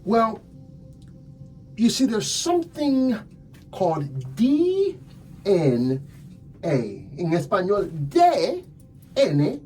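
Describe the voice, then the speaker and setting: Slow, mellow police detective played by a man. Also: sits high, huge pitch swings, pitched above the performer's own voice, heavily acted, rough recording. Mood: pressing, sardonic. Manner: deliberate, hesitant, drawn-out phrasing with wry asides. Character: calm, methodical, sardonic male police detective questioning a suspect. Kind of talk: slow ASMR roleplay acting. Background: music.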